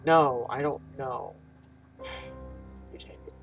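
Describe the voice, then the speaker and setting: A man's voice: mid-range voice, slow. A male gaming host with a mid-range voice talks informally over faint music. He reads the game's text aloud and drops in casual, muttered comments as he plays. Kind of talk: casual let's play, reading game text and reacting. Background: game music.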